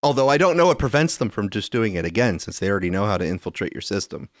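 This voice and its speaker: A man with a low voice hosts a podcast, mixing loose talk with read-aloud news. His pitch moves around in a lively way, and the sound is dry and close. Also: quick pace, no echo. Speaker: podcast host.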